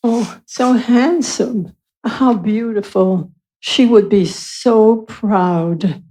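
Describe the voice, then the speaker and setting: A woman acting in a radio play, narrating and commenting in a wry, gentle manner. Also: a little high, very slow, poor audio.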